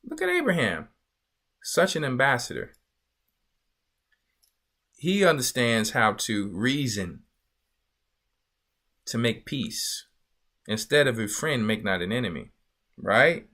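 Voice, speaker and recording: A man's voice: mid-range voice, wildly swooping pitch, takes it slowly, long pauses, sharp tone. A man teaching a Bible lesson as a church elder, emphatic and repetitive, often asking listeners if they see his point. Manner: emphatic, repeats phrases and asks listeners "you see". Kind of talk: sermon-style Bible teaching.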